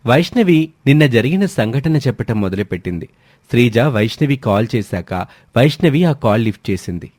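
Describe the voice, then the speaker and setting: A man's voice: mid-range voice, expressive, brisk, darkish tone, no echo. A man narrating a dramatic family story from a script.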